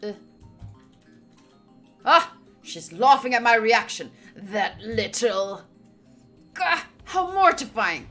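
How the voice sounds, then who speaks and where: A woman streamer reading game text aloud and reacting out loud with exclamations as she comments. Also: a little high, animated, slow, long pauses, soft background music. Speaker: visual novel playthrough streamer.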